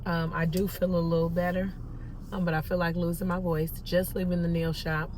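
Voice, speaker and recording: A woman, rambling in a casual vlog, hoarse as she loses her voice. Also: lowish voice, little pitch movement, fairly quick. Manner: rambling, with many ums. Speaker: vlogger. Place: in a car. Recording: phone in a car, loud road noise. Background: loud traffic and car noise.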